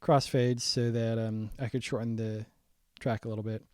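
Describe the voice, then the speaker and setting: A young man walking through his own saxophone arrangement in a casual, halting way, full of ums and restarts, his voice getting raspy. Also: medium pitch, level tone, darkish tone, no echo, studio quality. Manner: halting, many ums and restarts, thinking aloud. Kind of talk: casual screen-share breakdown.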